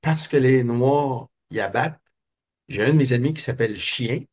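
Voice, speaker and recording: Un homme québécois, invité d'une entrevue, qui raconte son enfance d'un ton réfléchi, avec beaucoup d'hésitations. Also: male voice, mid-range voice, moderate pace, slightly rough. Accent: Québécois French. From Quebec. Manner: reflective, many hesitations and fillers. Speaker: guest. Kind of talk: personal storytelling interview.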